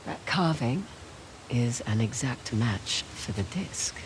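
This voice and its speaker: A woman playing a confident, quick-witted treasure hunter in a video game, with a wry, breezy way of talking. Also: extremely low pitch, lively intonation, noisy background, heavily acted. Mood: thoughtful. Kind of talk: naturalistic video game cutscene acting.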